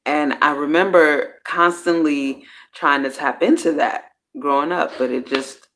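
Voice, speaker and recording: Slow, candid woman musing out loud. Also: deep voice, fairly even intonation, poor audio. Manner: candid, loose, swears freely; slang-heavy, trails off and restarts. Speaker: host of a personal growth series. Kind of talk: casual reflective monologue.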